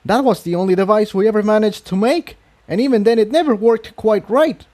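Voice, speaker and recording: A man's voice: sits high, a little fast, darkish tone, pitched above the performer's own voice, natural voice. A man voicing a fiery, indignant female pony resistance fighter in a fantasy storybook reading. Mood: outraged.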